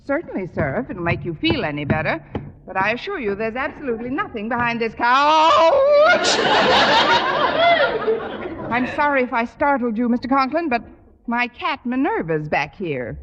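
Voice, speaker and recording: An actress playing a quick-witted, wry woman schoolteacher who covers up mischief with placating talk, in 1950s radio comedy style. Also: a little high, sing-song, a crowd in the background. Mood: wry, soothing. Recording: noisy muffled old radio recording, studio audience.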